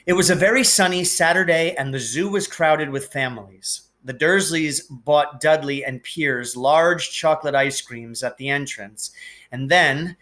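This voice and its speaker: A man reading a children's novel aloud as a teacher would, with expressive narration and deliberate, emphatic phrasing. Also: on the high side.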